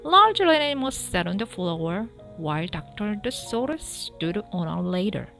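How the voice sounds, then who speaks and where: Lady reading a kids book out loud, takes it slow, very up and down, bit of music under it. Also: medium pitch, sing-song, gravelly. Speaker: storybook reader. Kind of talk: expressive children's read-aloud narration. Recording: background music behind the voice. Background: background music.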